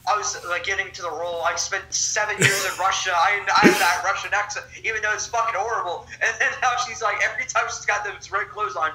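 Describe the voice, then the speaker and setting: Male co-host, bright voice, lively and crude, mocks with impressions. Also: high-pitched, fast, hardly any pauses, soft background music, poor audio. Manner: animated, profane, mocking impressions. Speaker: podcast co-host. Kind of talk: casual movie chat.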